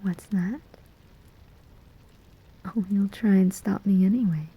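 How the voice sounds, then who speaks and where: A woman's voice: mid-range voice, fairly even intonation, highs cut off, exaggerated voice. A woman playing a sly, menacing female demon who collects souls, in a teasing whispered ASMR roleplay. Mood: bargaining, challenging. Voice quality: breathy whisper. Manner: slow, deliberate, teasing.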